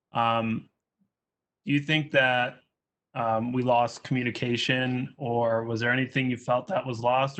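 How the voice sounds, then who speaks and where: A man with an average-pitched voice moderates an informal discussion as an instructor. His intonation stays quite flat as he puts questions and adds his own reflections. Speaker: instructor moderating student presentations.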